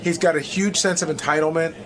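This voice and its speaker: A man's voice: fairly high pitch, fairly even intonation, a little fast, fairly bright tone. A male radio staffer answering interview questions candidly, blunt and emphatic, repeating himself. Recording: busy crowd chatter behind. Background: crowd noise.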